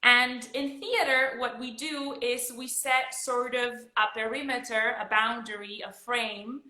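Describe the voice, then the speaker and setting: A woman's voice: a little high, fairly even intonation, measured pace, pushed and bright. A woman from Argentina with an Argentine accent, a drama teacher co-hosting an upbeat casual chat over a video call. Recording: roomy video call audio.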